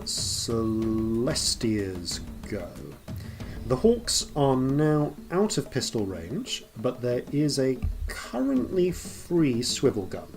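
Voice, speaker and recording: Lively game master narrating battle. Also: mid-range voice. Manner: lively, lilting narration with laughs.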